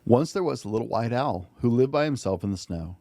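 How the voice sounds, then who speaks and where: A man hosting and reading a children's picture book aloud in an expressive, gentle bedtime-story way. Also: mid-range voice, brisk, no room sound, studio quality. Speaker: podcast host and storybook reader.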